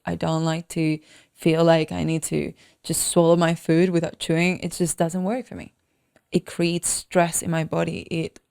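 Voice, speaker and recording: A woman podcast host with a fairly low voice and plenty of vocal fry. She talks in a motivational, deliberate way, leaning on key words and repeating phrases to drive them home. She comes from the Dominican Republic. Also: a little mellow. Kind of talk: motivational solo podcast talk.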